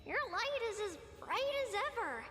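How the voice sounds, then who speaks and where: A woman voicing a gentle, caring, girlish young singer in a video game, with slow, tender delivery. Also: very high-pitched, sing-song, exaggerated voice. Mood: tender, nostalgic. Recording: long reverb, faint music behind.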